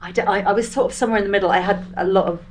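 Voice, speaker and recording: A woman's voice: medium pitch, steady tone, racing, a little mellow. A woman chatting casually as a guest on a podcast. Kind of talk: casual chat.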